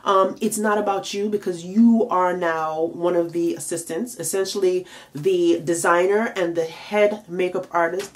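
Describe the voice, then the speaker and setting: A woman's voice: lowish voice, a little mellow. A tired, congested woman giving chatty career advice to camera as a makeup and nail artist, with plenty of fillers. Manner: chatty, frequent fillers, emphatic rhetorical questions. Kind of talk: casual advice vlog to camera.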